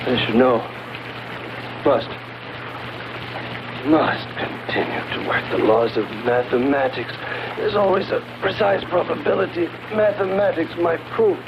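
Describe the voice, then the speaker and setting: An actor playing an exhausted, earnest astronaut, halting and strained, in a 1960s science fiction film. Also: male voice, fairly high pitch. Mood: weary, straining. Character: stranded male astronaut, exhausted, earnest and desperate. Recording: dull, noisy old film soundtrack.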